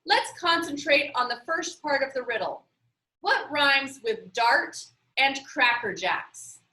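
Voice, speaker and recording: Storybook boy team leader played by a woman, eager, bossy, urgent, high and very animated. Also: slow, roomy sound, exaggerated voice, low-quality recording. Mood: eager, urging.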